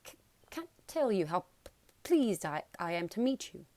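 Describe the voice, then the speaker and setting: A woman voicing a nervous young male professor in a storybook, muttering and stuttering haltingly on his words. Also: medium pitch, big pitch swings, mellow, no echo, close to an everyday voice. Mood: nervous, stammering.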